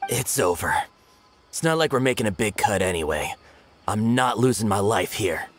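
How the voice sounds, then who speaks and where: A man plays a cowardly smuggler in a video game. His voice is fairly low and wary, with animated pitch and faint music behind. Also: normal speed, lightly acted. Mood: defeated. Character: wary, cowardly male smuggler peddling illicit goods.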